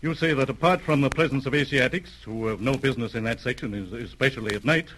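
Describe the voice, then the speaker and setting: An actor playing a determined British police superintendent in an old radio drama, clipped and authoritative. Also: male voice, mid-range voice, fast talker, fairly bright tone, close to an everyday voice. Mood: brisk, commanding. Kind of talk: 1930s-40s radio drama acting. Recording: noisy, clicking vintage radio recording.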